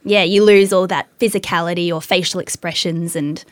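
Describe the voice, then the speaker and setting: A young woman guest in a casual interview, talking easily and laughing often, with a naturally high voice she works to keep lower. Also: fairly even intonation, fairly quick, no echo, clean studio sound. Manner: laughs often, self-deprecating, bubbly. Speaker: actress and writer guest.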